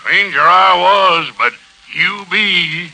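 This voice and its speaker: Casting: wary frontier outlaw boss, somewhat high voice, Western accent, folksy, old-time radio drama. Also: male voice, takes it slowly, poor audio. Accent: American Western. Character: wary Old West outlaw gang boss, soon cowed. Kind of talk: 1940s radio acting.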